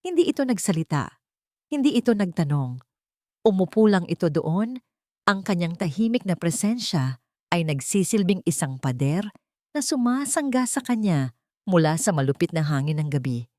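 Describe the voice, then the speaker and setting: A woman with a slightly low voice narrates a romantic drama as a storyteller. Her delivery is solemn and measured, recorded dry and close. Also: sing-song, mellow, no echo.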